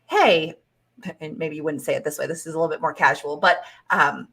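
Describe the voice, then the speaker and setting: A woman career coach giving interview advice in a measured, encouraging way. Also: low voice, gravelly, a bit bright, slightly rough. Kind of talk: casual advice chat.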